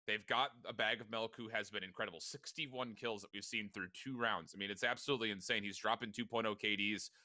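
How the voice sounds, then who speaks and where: A man's voice: lowish voice, steady tone, a little fast, hardly any pauses, bright, forceful tone, no room sound. A man from Chicago co-commentating esports, emphatic and prone to stammered restarts. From Chicago, USA. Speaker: esports co-caster.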